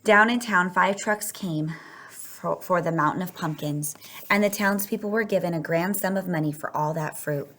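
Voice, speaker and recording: A woman's voice: lowish voice, moderate pace, a bit bright. A woman reading a children's picture book aloud, telling it expressively and stretching words for emphasis. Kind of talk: read-aloud children's story narration.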